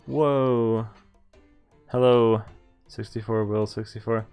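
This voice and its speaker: A man's voice: fairly low pitch, steady tone, slow, a little mellow, clean tone, soft background music. A man giving casual let's-play commentary on a visual novel, switching between offhand reactions and reading game text aloud. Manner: casual, reacts with laughs and interjections. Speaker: streamer playing a visual novel.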